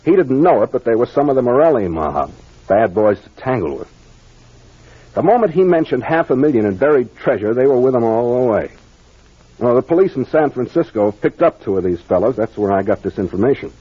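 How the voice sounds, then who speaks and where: Confident detective captain, American, 1940s radio mystery style, animated pitch, muffled old recording. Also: male voice, slightly rough. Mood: assured, explanatory. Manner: deliberate, authoritative, explanatory. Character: confident young male detective captain explaining a case. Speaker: actor playing the investigator.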